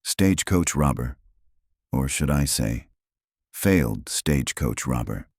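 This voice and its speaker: A man voicing a weathered, wry male marshal in a slow drawl with a gravelly edge. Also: very deep voice, expressive, a little mellow, no echo, clean studio sound, exaggerated voice.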